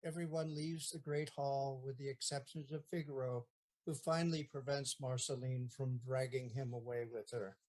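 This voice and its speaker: A narrator reading stage directions in an audio play in a plain, measured way. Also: mid-range voice, fairly even intonation, a little mellow, poor audio.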